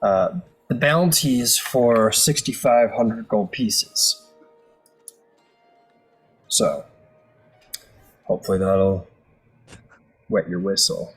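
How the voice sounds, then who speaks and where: A man's voice: fairly low pitch, slow, long pauses, slightly rough, rough recording. Man playing a casual, businesslike mercenary boss. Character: easygoing male mercenary boss making a deal. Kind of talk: improvised tabletop roleplay. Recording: music playing under the voice.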